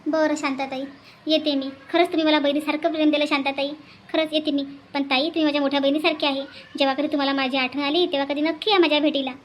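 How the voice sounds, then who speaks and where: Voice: sits high, little pitch movement, fast talker, a bit bright, a little hiss or hum. A performer voicing an earnest, tender city woman in a cartoon melodrama, speaking politely, pleadingly and sentimentally. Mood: tearful gratitude. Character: earnest, tender orphanage woman from Mumbai, bidding farewell.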